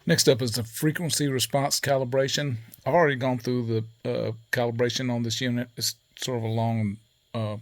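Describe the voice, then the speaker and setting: A man giving a casual hands-on technical tutorial in a methodical, matter-of-fact way, pausing as he goes. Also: mid-range voice, flat delivery. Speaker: presenter demonstrating equipment calibration.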